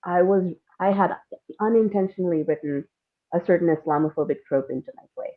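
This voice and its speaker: A woman's voice: lowish voice, brisk. A woman guest talking casually and candidly in an interview, thinking aloud in an informal way. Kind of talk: casual reflective interview talk. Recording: narrow, phone-like call audio.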